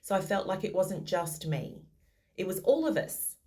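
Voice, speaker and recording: A woman's voice: medium pitch, very animated, moderate pace, a little mellow. A modest, thoughtful woman answering interview questions over a video call. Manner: modest, thoughtful, some restarts.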